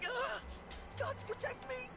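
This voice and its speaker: A man's voice: extremely high pitch, steady tone, slow, gravelly, exaggerated voice. An actor voicing a timid, frightened young man in a video game, hesitant and formally polite. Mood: terrified. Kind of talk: video game character voice acting. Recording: game audio under loud music.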